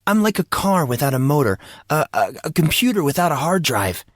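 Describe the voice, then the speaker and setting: Man voicing an intense, troubled teenage boy, pitched up. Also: very animated, fairly quick, no room sound, clean studio sound. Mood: anguished confession. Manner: impassioned, dramatic.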